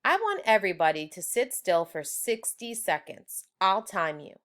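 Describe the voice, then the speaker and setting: A woman's voice: medium pitch, sing-song, moderate pace, a bit bright. A woman voicing an earnest adult male schoolteacher from a children's storybook, instructive and emphatic.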